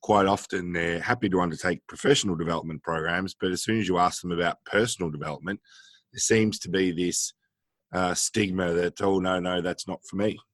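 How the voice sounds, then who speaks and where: Man, interview host, deep low pitch, conversational, dry sound without room echo. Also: fairly even intonation, brisk.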